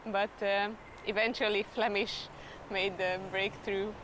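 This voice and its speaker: A woman's voice: medium pitch, little pitch movement, measured pace, a bit bright, slight background noise, low-quality recording. A young woman with a Hungarian accent chatting in an interview, laughing often as she talks. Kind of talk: casual interview chat.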